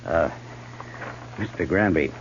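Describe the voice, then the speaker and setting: A man playing a dry, commanding American army major in a 1950s radio western, speaking in a measured, official way. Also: extremely low pitch, full character voice. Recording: muffled 1950s radio recording, faint hum.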